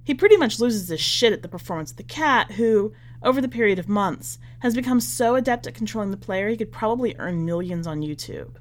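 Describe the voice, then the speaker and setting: A woman reading in a measured, expressive way, as a podcast host presenting and narrating short fiction. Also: mid-range voice. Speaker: podcast host and story reader.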